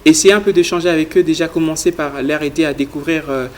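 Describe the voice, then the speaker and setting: A man's voice: fairly high pitch, quick pace, slight background noise. A young man from Congo, a guest explaining his youth leadership project in an interview, earnest and full of fillers. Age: young adult. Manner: earnest, speaks in a flat even tone with frequent fillers. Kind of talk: studio interview answers.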